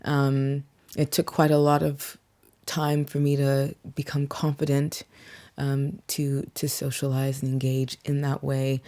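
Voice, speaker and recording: A woman's voice: very deep voice, monotone, unhurried. A young woman with a mixed accent touched by American and South African inflections, reflectively talking through her background as an interview guest, with many "um"s and "like"s. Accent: mixed, slight American and South African inflections. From England (Yorkshire). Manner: reflective, frequent "um" and "like", soft laughs. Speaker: guest singer-songwriter. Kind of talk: casual interview chat.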